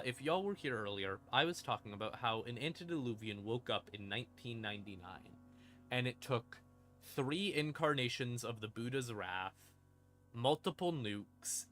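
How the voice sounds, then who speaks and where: A man's voice: medium pitch, bright, forceful tone, slight background noise. A man streaming a casual explanation of game lore, informal, with dry asides and dramatic emphasis. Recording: music behind the voice. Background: creepy ambient music.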